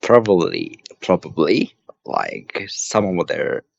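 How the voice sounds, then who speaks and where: A man's voice: low voice, wildly swooping pitch, takes it slowly, creaky, highs cut off. A man, an English student in casual conversation practice, hesitant and laughing often between words. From Japan. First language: Japanese.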